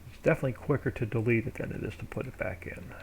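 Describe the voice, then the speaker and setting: Gravelly man thinking aloud. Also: mid-range voice, very fast, a little hiss or hum. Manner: thinks aloud while working, relaxed and rambling. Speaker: live streamer building a game map. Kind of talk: casual live-stream commentary.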